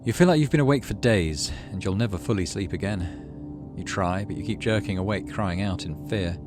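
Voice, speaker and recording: A man's voice: low voice, a little fast, over music. A middle-aged British man hosting a gaming video, reading story text aloud and adding casual commentary.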